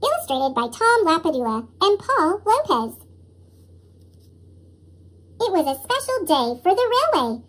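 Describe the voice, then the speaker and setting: Storybook reader, female, squeaky chipmunk-shifted voice, very high, lively and expressive delivery for young children. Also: a little slow, long pauses. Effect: sped-up chipmunk pitch shift. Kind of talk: expressive children's read-aloud narration.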